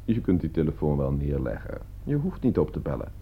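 A man's voice: rumbling low, huge pitch swings, a little fast, gravelly, slightly rough, full character voice. An actor playing a self-assured, precise man who can become rattled, in a radio play. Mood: cool, self-assured. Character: self-assured man named Loimu who proves his point, turning rattled. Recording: dull lo-fi radio play recording.

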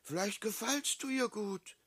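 A fat Austrian village baker in a radio drama, needling with smug glee. His voice is bright and forward, recorded very dry and close. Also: sits high, a little slow, no echo. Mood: gleeful teasing. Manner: teasing, needling. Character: fat village baker, gleefully mocking and teasing.

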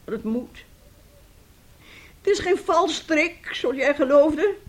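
A woman's voice: high-pitched, lively intonation, slow pace. An actress playing a domineering, weary older mother in a radio play, speaking in a stern, emphatic way. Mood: weary, pained.

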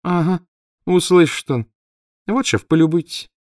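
A brash young woman assistant, cocky and quick with her fists, speaks up in a raised, boastful voice, as a male narrator puts her on. The sound is dry and close, with no room around it. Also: on the high side, dry recording, voice pushed up, lightly acted. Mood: cheeky. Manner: cheeky, boastful.

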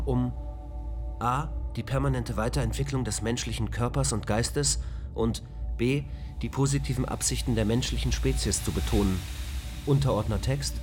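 A man plays an even-tempered spaceship computer in a radio drama, answering in clipped, exact readouts with no feeling in them. His voice sits in a middle register, with music running underneath. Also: medium pitch, close to an everyday voice. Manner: flat, precise, machine-like reporting. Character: calm male ship computer program. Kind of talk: radio play acting.

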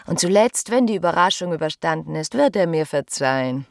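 An actress playing a desperate, passionate married woman in a German radio drama, intense and emotional, often dropping to a breathy whisper. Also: deep voice, lively intonation, fairly quick, darkish tone. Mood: bitter despair.

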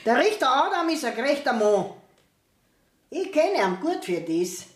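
An actress playing a middle-aged, indignant peasant mother in a Bavarian dialect radio play, scolding with drawn-out emphasis. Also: high-pitched, sing-song, echoey room. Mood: indignant, stubborn.

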